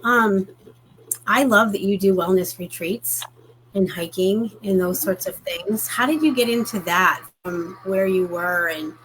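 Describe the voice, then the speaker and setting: A woman hosts an interview at a mid-range pitch, sounding appreciative of her guest. She asks curious, open follow-up questions. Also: unhurried, fairly bright tone, a little hiss or hum, poor audio. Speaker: interview host.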